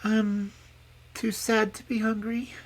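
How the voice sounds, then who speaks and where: A woman's voice: medium pitch, level tone, slow pace, pitched above the performer's own voice. A woman voicing a meek, sorrowful young princess in a storybook, pleading politely and plaintively. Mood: sad, meek. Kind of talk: storybook character voice.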